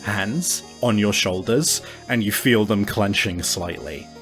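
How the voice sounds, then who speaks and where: Guy running a tabletop game, lowish voice, chatty and sweary, faint music in back. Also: takes it slowly, a bit bright. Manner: casual, sweary, conversational. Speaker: game master. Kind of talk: improvised tabletop narration. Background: faint background music.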